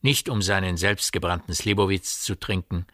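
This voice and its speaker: A man narrating a radio drama as a literary storyteller, in a measured manner. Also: low voice, fairly bright tone, no echo, studio quality. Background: music.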